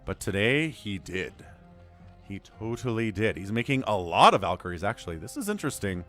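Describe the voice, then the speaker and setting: A man calling a live strategy game match solo, his voice a little hoarse and stuffy from a cold, swinging from calm analysis to loud exclamations. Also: on the low side, brisk, soft background music. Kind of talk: live esports play-by-play commentary.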